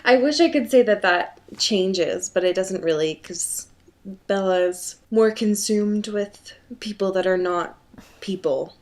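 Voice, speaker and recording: A woman's voice: medium pitch, slow, darkish tone. A woman co-hosting a podcast, chatting casually and comedically about a book with a giggly, conversational manner. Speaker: podcast co-host.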